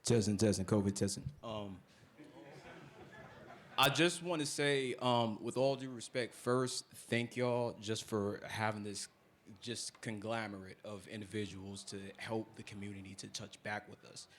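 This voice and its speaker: A man's voice: lowish voice, measured pace, a little hiss or hum. Roundabout man from Jersey. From New Jersey. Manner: roundabout, many fillers. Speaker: audience member. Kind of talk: audience question at a community panel.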